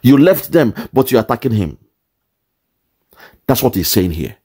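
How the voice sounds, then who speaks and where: A man breaks down a clip for his viewers in an indignant commentary, repeating himself and firing off rhetorical questions. His voice is mellow and dark in tone, and his pitch is middling. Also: big pitch swings, normal speed, breathy edge. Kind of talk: commentary on a played video.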